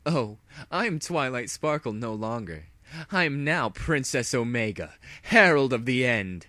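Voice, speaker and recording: A man's voice: medium pitch, animated, measured pace, bright, forceful tone, dry recording, clean studio sound, pitched above the performer's own voice. A man voicing an insane female pony villain princess in a story reading, gloating and cooing with theatrical menace.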